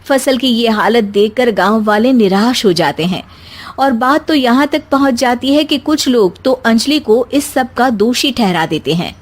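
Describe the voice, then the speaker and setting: Slightly high-voiced woman narrating a story. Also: animated, measured pace. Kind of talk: scripted moral story narration.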